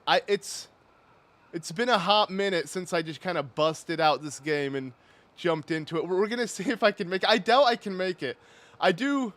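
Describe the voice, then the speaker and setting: A man's voice: high voice, fairly bright tone. A young man casually commenting on a live game stream, rambling and correcting himself with little chuckles into a desk microphone.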